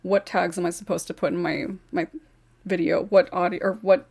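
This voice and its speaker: A woman's voice: fairly low pitch, steady tone, fairly quick, gravelly, a little mellow, slightly rough. Blunt, emphatic woman. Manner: blunt, emphatic, repeats points. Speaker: podcast co-host. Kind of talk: casual group chat on a call.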